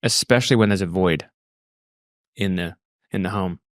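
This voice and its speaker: A man in his thirties with an Australian accent, telling his personal story reflectively and haltingly, repeating words as he thinks. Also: low voice, no echo, clean studio sound. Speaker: podcast guest.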